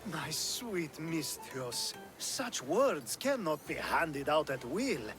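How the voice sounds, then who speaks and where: A flamboyant, flirtatious male charmer for a video game cutscene. His voice sits high and swings widely in pitch. He takes his time, drawing out phrases in a theatrical, teasing way. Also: sing-song, over music. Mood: dreamy, charming. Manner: theatrical, drawn-out, teasing delivery. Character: flamboyant, flirtatious Greek charmer, lovestruck groom-to-be.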